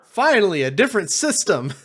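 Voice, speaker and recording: A man's voice: high-pitched, very animated, a little fast, fairly bright tone, slightly rough. A man getting over a cold, hosting a tabletop game podcast with a casual, joking manner. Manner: joking, laughs easily. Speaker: podcast host and player. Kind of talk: casual chat.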